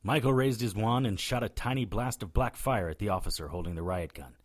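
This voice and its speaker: A man's voice: fairly low pitch, a little fast, lightly acted. A man playing a wry, cocky witch hunter who narrates and speaks in an audio drama, dry and sardonic. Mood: grave narrating. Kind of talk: first-person audio drama narration and dialogue.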